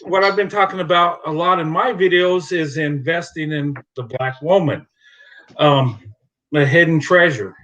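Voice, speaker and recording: Bright-voiced man hosting a live stream. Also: a little high, normal speed, lo-fi sound. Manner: reassuring, filler-heavy, laughs often. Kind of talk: casual live stream chat.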